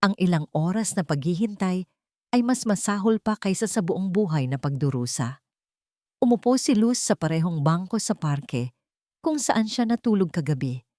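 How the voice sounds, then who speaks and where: A Filipino woman narrating a dramatic story as an audiobook, in a measured storytelling manner. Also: fairly low pitch, expressive, mellow, no echo, clean studio sound.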